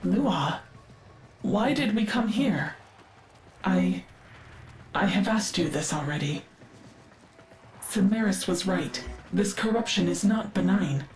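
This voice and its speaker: Slow, echoing, confused female AI. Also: medium pitch, animated, slight background noise. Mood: confused, fading. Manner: halting, precise formal speech. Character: confused, failing female AI archivist, fading and frightened. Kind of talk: dramatic video game voice acting. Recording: echoing voice with loud game music.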